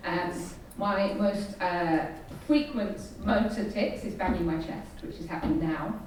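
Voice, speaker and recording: A woman's voice: on the low side, unhurried. A woman speaking on a panel, with frequent vocal tics that drop stray words into her sentences. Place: arts centre venue, before an audience. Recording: very echoey hall, distant mic, noisy.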